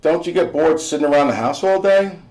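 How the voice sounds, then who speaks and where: A man's voice: a little high, fairly quick, a little mellow, voice pushed up, lightly acted, poor audio. A man voicing a curious, eager young teenage son in a storybook, with a questioning, pleading manner. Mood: curious, probing.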